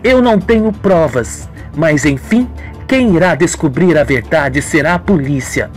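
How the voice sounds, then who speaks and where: A woman's voice: low-pitched, lively intonation, measured pace, faint music behind. Woman acting an accusing, suspicious young woman. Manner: pointed, confrontational.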